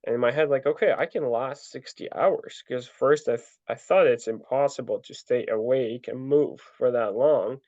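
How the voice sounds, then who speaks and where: A young man telling his story in a relaxed interview, full of fillers and restarts. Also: mid-range voice, moderate pace, few pauses, no room sound. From Ukraine. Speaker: guest, ultra trail runner. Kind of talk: casual interview answers. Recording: dull lo-fi dry sound.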